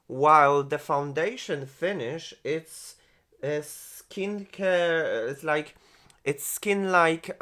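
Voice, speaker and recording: A man in his forties, a beauty vlogger reviewing makeup, who gushes and exclaims often and speaks in halting phrases with many restarts. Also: fairly high pitch, pushed and bright. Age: 40s. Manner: gushing, exclaims and laughs often. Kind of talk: product review and makeup tutorial.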